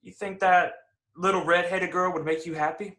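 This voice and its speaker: An actor in a play reading, playing a brash, excitable young factory worker teasing his buddy. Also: high voice, moderate pace.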